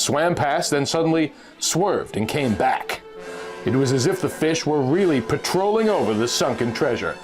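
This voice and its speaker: A man narrating a scripted adventure story in a theatrical, suspenseful storytelling manner. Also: mid-range voice. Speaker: narrator reading a pulp magazine story.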